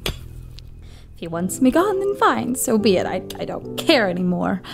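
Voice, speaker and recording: An actress playing a fed-up, sharp-tongued female assistant who speaks curtly, with music behind. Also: mid-range voice, very animated, a little slow, vocal fry. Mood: bitter. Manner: curt, exasperated. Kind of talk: audio drama acting.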